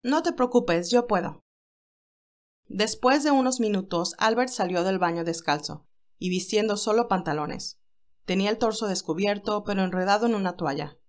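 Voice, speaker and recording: A woman's voice: medium pitch, moderate pace. A woman reading a scripted romantic story as its narrator, in a dramatic storytelling style.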